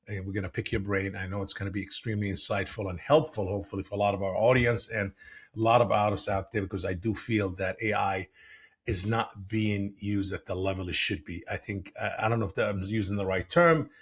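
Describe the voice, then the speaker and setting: A man's voice: lowish voice, steady tone, fairly quick, breathy edge. A man hosting a podcast interview, asking long, rambling questions that he often restarts. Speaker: podcast host.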